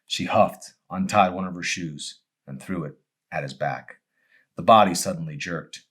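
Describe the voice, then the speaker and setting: A man, an author presenting and reading his own novel, with dramatic, deliberate phrasing. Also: low voice, a bit bright, slightly rough. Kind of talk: audiobook-style narration with casual introduction.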